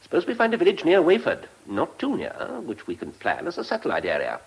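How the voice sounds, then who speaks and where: A man's voice: on the high side, wildly swooping pitch, fast talker, gravelly, breathy edge, heavily acted. A man playing a polite, keen, scheming British civil servant in a radio drama, speaking precisely. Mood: keen, plotting. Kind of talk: mid-century British radio drama acting.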